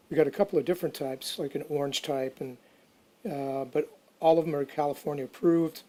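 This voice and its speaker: A man's voice: a little high, darkish tone. A man giving casual how-to narration for a DIY project, informal and unscripted, as if thinking aloud. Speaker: DIY restoration video narrator.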